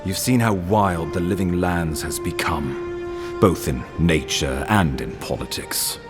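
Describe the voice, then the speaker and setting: Deep, measured imperial ambassador. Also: male voice, loud music behind the voice. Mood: grave, earnest. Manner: formal, deliberate, diplomatic. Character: courtly male imperial ambassador, measured, grave, authoritative. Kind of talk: scripted video game dialogue.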